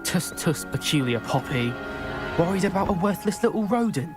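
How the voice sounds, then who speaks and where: A woman's voice: lively intonation, moderate pace, lightly acted. A woman voicing a mean, mocking student bully in a game cutscene. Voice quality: low, mocking sneer. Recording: game cutscene with music behind.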